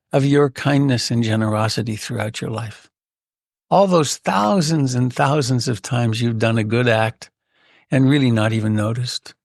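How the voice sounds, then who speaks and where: A man leading a guided meditation as a teacher, speaking gently and unhurriedly with frequent pauses. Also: mid-range voice, no echo, studio quality.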